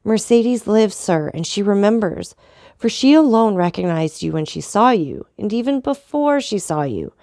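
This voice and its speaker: A woman's voice: medium pitch, sing-song, normal speed, mellow, dry recording, clean studio sound, pitched above the performer's own voice. Woman playing a desperate pleading mother. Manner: pleading, anguished. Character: desperate noblewoman pleading for her son.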